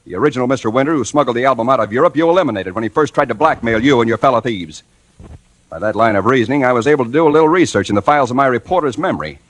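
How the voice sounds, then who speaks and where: An actor playing a dogged, hardboiled newspaper reporter in a 1940s radio detective drama, with a husky voice and wry, clipped delivery. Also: male voice, mid-range voice, lively intonation, racing, a bit bright, no room sound, rough recording. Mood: accusing, insistent. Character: dogged hardboiled male newspaper reporter narrating his case. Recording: old radio recording with faint music.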